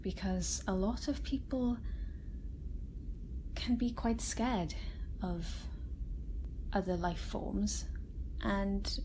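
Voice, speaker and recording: A woman playing a kind, gentle scientist doctor in a soft-spoken ASMR roleplay, reassuring a frightened alien patient with careful, halting explanations. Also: medium pitch, noisy background. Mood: calm, reassuring. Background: sci-fi room ambience.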